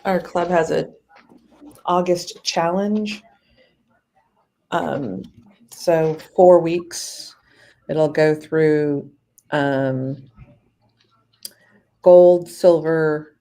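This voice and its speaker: A woman with a somewhat low voice chatting on a casual live stream. She is blunt and chatty and swears offhandedly. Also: steady tone, very slow, pauses often, warm tone. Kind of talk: casual chat.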